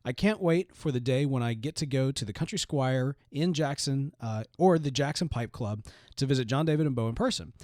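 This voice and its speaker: A man co-hosting a podcast, talking in an animated, casual way with lots of fillers and restarts. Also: mid-range voice, a little fast, dry recording, clean studio sound. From Southern US. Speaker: podcast co-host. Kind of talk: casual chat.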